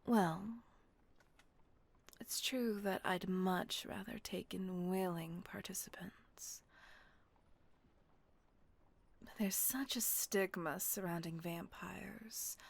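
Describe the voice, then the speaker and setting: A woman voicing a gentle, possessive female vampire mistress in a whispered audio roleplay, slow and coaxing. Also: medium pitch. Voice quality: breathy whisper. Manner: slow, coaxing, softly reassuring.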